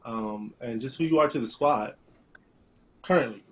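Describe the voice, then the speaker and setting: A man hosting an online panel discussion in a halting way, repeating phrases, from a room at home on a video call. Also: medium pitch, noisy background. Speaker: host. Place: small room at home, indoors.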